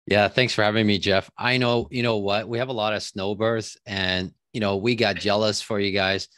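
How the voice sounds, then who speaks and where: A man, telling his story as a podcast guest in a relaxed, chatty way with many fillers. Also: lowish voice, normal speed, no room sound, studio quality. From Taiwan. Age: 30s. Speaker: podcast guest, real estate investor. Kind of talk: casual interview chat.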